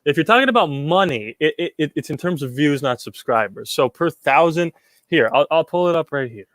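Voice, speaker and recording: A man's voice: a little high, expressive, a little fast, fairly bright tone, dry recording. A young man from Puerto Rico hosting a casual livestream, reading chat questions and answering them in a rambling way, with repeated words and many ums.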